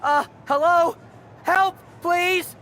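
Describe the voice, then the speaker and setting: An actor playing a smarmy, cowardly wrestling-show producer in a video game, with theatrical delivery. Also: male voice, very high voice, fairly even intonation, very slow, pushed and bright, full character voice. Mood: nervous pleading. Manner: theatrical, smug or pleading. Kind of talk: video game cutscene acting. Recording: echoey hall with faint noise.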